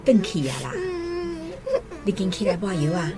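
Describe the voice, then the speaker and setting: A woman's voice: fairly low pitch, moderate pace, lightly acted. A woman playing a hardworking mother of many children, scolding yet tender, in a radio drama with a Taiwanese accent. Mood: apologetic, soothing. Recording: guitar music under the voice.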